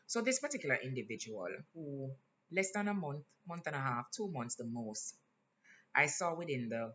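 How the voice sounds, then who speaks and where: A man with a Jamaican accent talking in a casual vlog, earnest and candid, pausing often with fillers. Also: mid-range voice, sing-song, sharp tone. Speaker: vlogger. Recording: slightly dull lo-fi sound, faint hiss.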